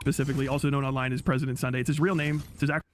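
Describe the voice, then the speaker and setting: A man's voice: mid-range voice, flat delivery, rapid-fire. A man giving casual online video commentary in a blunt, sarcastic way. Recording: clearly noisy background ambience.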